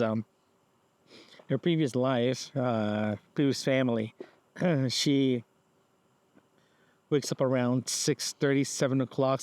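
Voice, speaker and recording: A man recording a casual podcast diary outdoors in a park, talking in a halting, rambling way and often repeating himself. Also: medium pitch, a little mellow, dry recording. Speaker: podcast host.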